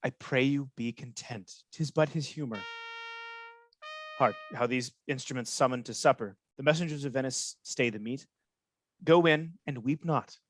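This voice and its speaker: A man playing a smooth-talking, scheming soldier in a Shakespearean radio play, persuasive and placating. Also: medium pitch, moderate pace, no echo, faint music behind, lightly acted. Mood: soothing.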